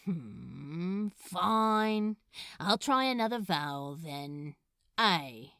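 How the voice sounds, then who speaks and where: Woman acting male demon, lowish voice, sly, grumbling, casual, teasing, very dry close sound, storybook dialogue. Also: animated, very slow, bright, forceful tone, no room sound, full character voice. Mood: grumbling, reluctant. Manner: casual, drawling, teasing banter.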